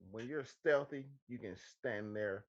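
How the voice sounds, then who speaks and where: A man's voice: medium pitch, measured pace, muffled recording. A man co-hosting a show, bantering casually with emphatic, teasing delivery and repeated phrases. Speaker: podcast co-host.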